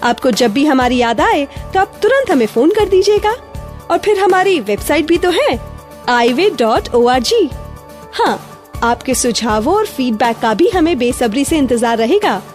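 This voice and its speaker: Animated high-voiced Indian woman radio host. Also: a little slow, faint music behind. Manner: addresses listeners directly, playful rhetorical questions. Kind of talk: scripted radio presenting.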